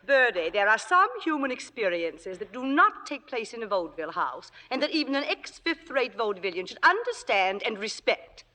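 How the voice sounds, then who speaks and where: A woman's voice: a little high, big pitch swings, moderate pace, hardly any pauses, bright, forceful tone. A woman playing a sardonic, imperious theater star in a vintage radio drama, with a grand, biting delivery. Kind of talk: 1940s-50s radio drama acting.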